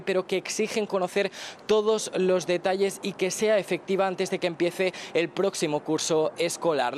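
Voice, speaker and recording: A man delivering a recorded news report in a brisk, read style. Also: sits high, a little hiss or hum.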